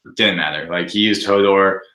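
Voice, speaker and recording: Deep-voiced young man, bright and echoey. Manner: halting, rambling, peppered with "like". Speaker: podcast guest. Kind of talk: casual chat, opinionated commentary. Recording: echoey, roomy sound.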